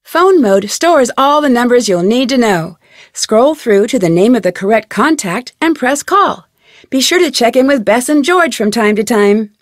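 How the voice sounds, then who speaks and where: A woman's voice: fairly high pitch, no room sound, studio quality. A woman playing a curious, friendly young amateur detective in a video game, with upbeat, conversational scripted delivery. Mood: friendly, instructive. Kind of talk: scripted video game dialogue and tutorial narration.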